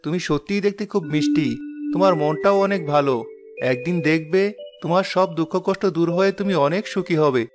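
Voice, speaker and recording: A man voicing a gentle, wise golden bird with soothing words in a cartoon moral tale. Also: fairly high pitch, fairly quick, close to an everyday voice. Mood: kind, friendly. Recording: music behind the voice. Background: background music.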